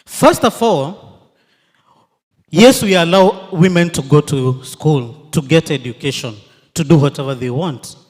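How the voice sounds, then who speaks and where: A man's voice: on the high side, animated. A man, a historian on a panel, argues emphatically point by point before an audience in a large hall. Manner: emphatic, measured points, mixes in Swahili. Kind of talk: impassioned public debate speech. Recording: long hall reverb.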